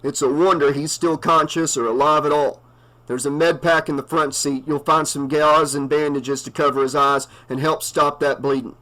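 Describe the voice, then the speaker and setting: A man's voice: fairly high pitch, fairly even intonation, normal speed, fairly bright tone, natural voice, rough recording. A man voicing a weary small-town sheriff who speaks firmly. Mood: stern. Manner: firm, commanding.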